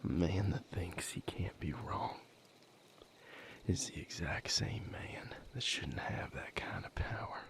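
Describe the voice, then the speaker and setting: A weary vampire healer, acted by a man in an intimate audio roleplay. His voice sits very low and slips toward a breathy whisper. He speaks in halting, earnest pieces, reflective and wounded, taking his time over each one. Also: lively intonation, fairly bright tone, exaggerated voice. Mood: troubled, reflective. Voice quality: breathy, gravelly, half-whispered.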